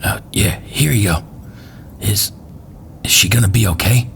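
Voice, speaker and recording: Rough-edged but soft-hearted regular guy, hesitant and casual, gravel in the voice, audio drama. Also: on the low side, expressive, measured pace, a little hiss or hum, exaggerated voice. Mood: worried.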